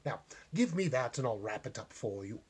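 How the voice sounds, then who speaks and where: A man's voice: mid-range voice, very animated, normal speed, a little mellow, slightly rough. A man voicing a kindly, proper old English widow in a storybook, fussy and primly polite. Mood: brisk, motherly.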